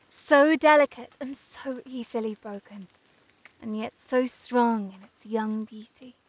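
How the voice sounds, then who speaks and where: A woman narrating a fairy tale as an audiobook reader, expressive, careful and measured. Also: high-pitched, no room sound.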